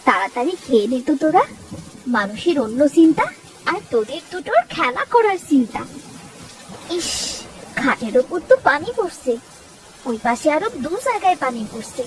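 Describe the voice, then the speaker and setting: Casting: woman as nagging cartoon village mum, very high swooping voice, anxious, set back over music. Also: sing-song, moderate pace, pauses often, distant mic, background noise. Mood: fussing. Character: anxious, scolding poor village mother. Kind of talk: cartoon voice acting.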